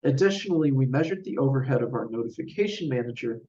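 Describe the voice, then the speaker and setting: Guy reading out a technical conference talk, measured and formal, mid pitch, sounds away from the mic. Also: lively intonation, mellow, slight background noise. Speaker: researcher presenting a paper. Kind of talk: scripted technical conference presentation. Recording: roomy, distant mic, dull sound.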